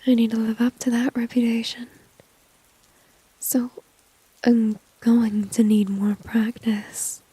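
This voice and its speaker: A woman's voice: a little high, level tone, takes it slowly, pauses often, warm tone, husky, no echo, exaggerated voice, low-quality recording. A woman playing a flirty, dominant, confident villain in an ASMR roleplay, whispering breathily and teasingly close to the microphone. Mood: coaxing, confident. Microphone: close microphone, dry.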